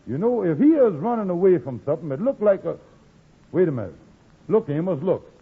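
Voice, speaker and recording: Casting: pushy comic busybody, high and rough-edged, animated, vintage radio. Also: male voice, fairly quick, heavily acted. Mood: urgent. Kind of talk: 1940s radio comedy acting. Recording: thin phone-like old radio recording.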